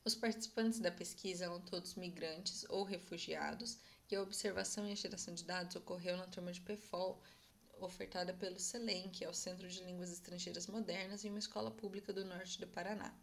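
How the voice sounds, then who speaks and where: Young woman giving a measured academic talk. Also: fairly low pitch, steady tone, gravelly, a little hiss or hum. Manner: measured, explanatory, often asks "né?" to check in. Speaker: conference presenter. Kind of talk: academic conference presentation.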